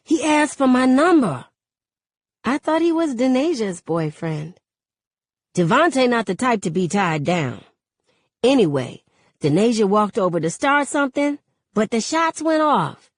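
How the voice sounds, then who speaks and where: A bold, chatty teenage girl, put on by a woman narrator from an audiobook novel. She talks in lively slang like a born gossip, with her pitch lifted high. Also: takes it slowly, dry recording, studio quality, full character voice. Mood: gleeful gossip. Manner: slangy, animated storytelling.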